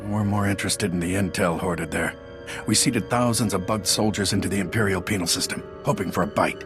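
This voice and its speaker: An actor playing a cynical, scheming intelligence agent in a video game, condescending and sardonic. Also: male voice, sits low, brisk, lightly acted. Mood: smug, explanatory. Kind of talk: video game dialogue acting. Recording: music clearly behind the voice.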